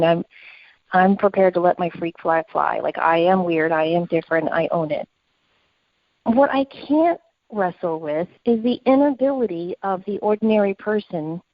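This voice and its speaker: A woman's voice: fairly low pitch, no echo, muffled recording. A woman co-hosting a podcast, talking in an earnest, reflective and opinionated conversational way. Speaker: podcast co-host.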